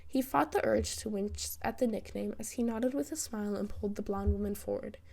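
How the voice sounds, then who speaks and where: A woman reading fan fiction as a narrator, in an expressive, wry storytelling style. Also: medium pitch, a little fast, gravelly. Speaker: podfic narrator.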